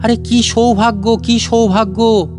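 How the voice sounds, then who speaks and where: A man voicing a short, pot-bellied gentleman in a comic story, meek, over-polite and naively admiring. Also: extremely high pitch, flat delivery, a little slow, music in the background, pitched above the performer's own voice. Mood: gushing, humble.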